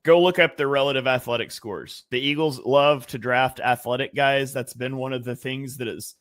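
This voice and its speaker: A man's voice: mid-range voice, normal speed, a bit bright, no room sound, studio quality. A man talking casually as a guest analyst on a sports podcast, thinking aloud with fillers and restarts.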